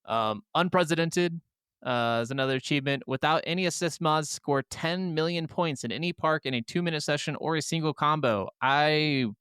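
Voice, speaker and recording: A man's voice: a little high, normal speed, fairly bright tone, clear, resonant tone, dry recording, studio quality. A man co-hosting a podcast, chatting casually about video games in an enthusiastic, freely swearing way. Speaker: podcast co-host.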